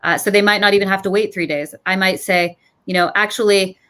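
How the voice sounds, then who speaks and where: A woman's voice: mid-range voice, fairly even intonation, brisk, a bit bright. Thoughtful woman recruiter on a panel. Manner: thoughtful, many restarts. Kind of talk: panel discussion.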